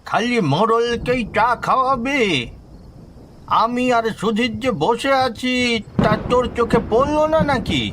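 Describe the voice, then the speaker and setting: Man voicing a high-pitched, touchy old village elder. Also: measured pace, fairly bright tone. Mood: irritated, scolding. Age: older. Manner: scolding, grumbling, dramatic. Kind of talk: Bengali horror cartoon voice acting. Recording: rain and thunder noise behind.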